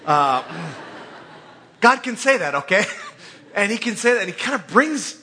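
Bright, forceful male preacher, informally teaching. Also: high voice, unhurried, slight background noise. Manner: colloquial, animated storytelling with joking asides. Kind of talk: informal conversational sermon with scripture readings.

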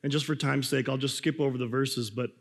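A man preaching a sermon, hoarse and a bit raspy from a cold, talking in a loose conversational way with restarts. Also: medium pitch, fairly even intonation, a little fast, in a large hall. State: hoarse, coughing. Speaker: pastor.

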